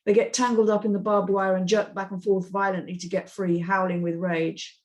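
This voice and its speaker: A woman's voice: mid-range voice, level tone, fairly quick. A woman narrating the action lines of a screenplay as host of a script reading.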